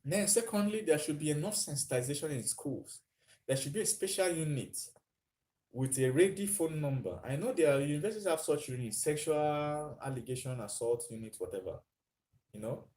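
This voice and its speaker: A man's voice: on the high side, animated, moderate pace, darkish tone. A man hosting a live stream, thinking aloud with frequent fillers and answering viewer comments. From Nigeria.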